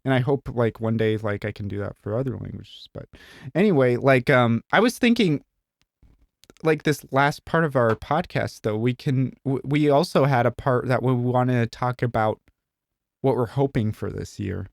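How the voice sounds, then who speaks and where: A man's voice: mid-range voice, normal speed, vocal fry, no room sound, clean studio sound. A young man from Southern Illinois, co-hosting a podcast in a casual, rambling way, full of fillers and jokes. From Southern Illinois, USA. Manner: rambling, heavy use of "like" and "um", joking. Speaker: podcast co-host. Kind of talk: casual chat.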